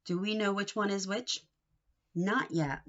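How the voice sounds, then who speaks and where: A woman reading a picture book aloud to young children in an expressive, sing-song way, coaxing them with questions. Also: medium pitch, unhurried, highs cut off, slight background noise.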